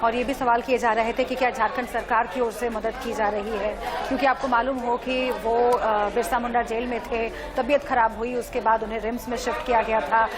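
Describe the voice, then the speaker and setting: A woman's voice: fairly high pitch, level tone, moderate pace, hardly any pauses, a crowd in the background. A woman giving live, unscripted analysis as a news correspondent in a newsroom.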